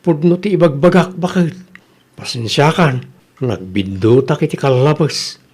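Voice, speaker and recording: A man plays a beleaguered husband in an Ilocano radio comedy drama. His voice is somewhat high, with an Ilocano Filipino accent, and he speaks in self-justifying protest. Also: unhurried, a little mellow, slightly rough.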